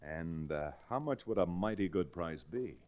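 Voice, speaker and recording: An actor with an American accent playing a stern, upright lawyer-rancher, firm and measured, in an old radio Western. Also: male voice, low voice, animated, a little mellow. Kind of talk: old-time radio Western acting and narration. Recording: noisy vintage radio recording.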